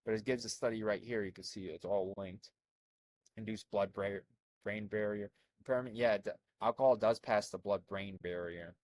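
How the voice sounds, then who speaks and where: A young man from Albania who grew up in America, talking over on-screen text in a rambling, animated way full of fillers and restarts. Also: fairly low pitch, normal speed. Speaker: health coach. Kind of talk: casual commentary reading from a screen.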